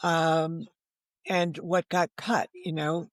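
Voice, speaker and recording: A woman's voice: sits low, little pitch movement, takes it slowly, dry recording. An American woman in her seventies chatting in a casual interview, anecdotal and emphatic in how she talks. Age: 70s. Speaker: podcast guest, novelist.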